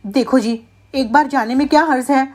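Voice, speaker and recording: A woman voicing a caring, practical village wife in an animated moral story, emphatic and coaxing. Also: high-pitched, moderate pace, pitched above the performer's own voice. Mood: coaxing, insistent.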